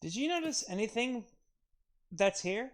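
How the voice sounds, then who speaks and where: A man's voice: very high-pitched, lively intonation, unhurried, background noise. A man hosting a video game playthrough with casual, chatty delivery. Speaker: host of game voice-over video. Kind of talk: casual gaming chat.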